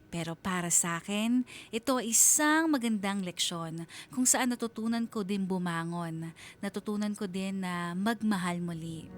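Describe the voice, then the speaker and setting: A woman narrates a scripted radio drama at a medium pitch. She tells the story in a confiding, reflective way, as dramatic first-person storytelling. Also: moderate pace.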